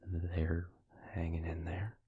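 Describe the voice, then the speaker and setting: A man playing a reserved, loyal vampire in an audio drama, speaking tersely in a soft, gravelly voice. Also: low voice, monotone, slow pace, lo-fi sound, heavily acted. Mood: subdued, cautious. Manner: terse, restrained, soft-spoken. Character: reserved, loyal male vampire, quiet and guarded. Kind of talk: audio roleplay drama acting.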